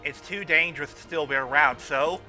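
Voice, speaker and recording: Excitable, high-pitched man reacting live. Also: normal speed, soft background music. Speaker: host of a group riff watch-along. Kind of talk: casual live reaction commentary on a cartoon. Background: cartoon episode audio.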